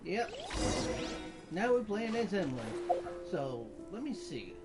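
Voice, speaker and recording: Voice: medium pitch, big pitch swings, takes it slowly. Casual gamer with a swooping voice. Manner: offhand, muttering short reactions. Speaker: gameplay video host. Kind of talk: casual gameplay commentary. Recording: loud game music behind voice. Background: video game music.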